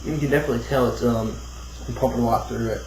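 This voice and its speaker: A man giving a casual, unscripted hobbyist demo, rambling and thinking out loud as he goes. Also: medium pitch, fairly even intonation, fast talker. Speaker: hobbyist demonstrating a homemade build. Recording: roomy echo, clear background noise.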